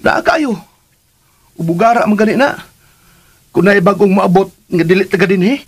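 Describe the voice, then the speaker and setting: An actor playing a lovesick, teasing young son in a radio drama, earnest and playful, with a Filipino accent. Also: male voice, high-pitched, animated, normal speed, husky, heavily acted. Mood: spooky teasing. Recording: music under the voice.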